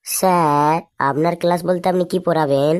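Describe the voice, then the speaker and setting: A woman voicing a cheeky, slow-witted schoolboy in a comic cartoon, whining and protesting. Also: low voice, steady tone, a little mellow, natural voice. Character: cheeky, slow-witted schoolboy pupil answering back to his teacher.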